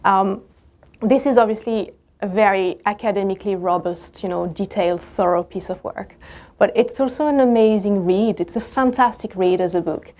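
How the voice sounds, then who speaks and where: A woman with a non-native English accent leads an academic conversation, asking long, carefully framed questions in a measured, appreciative way, heard from a far camera in a lecture room. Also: mid-range voice, dark tone. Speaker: discussant.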